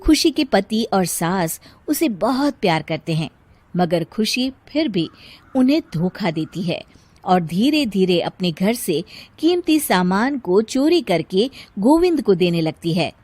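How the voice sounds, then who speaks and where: A woman narrating a scripted cartoon story as an expressive storyteller. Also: medium pitch, a little slow.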